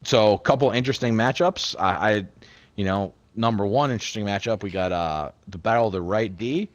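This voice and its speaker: A young man with a very low voice hosts a casual podcast chat. He talks in a loose, rambling way and swears freely. The sound is dry and close. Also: a little fast, no room sound, muffled recording. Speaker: podcast host.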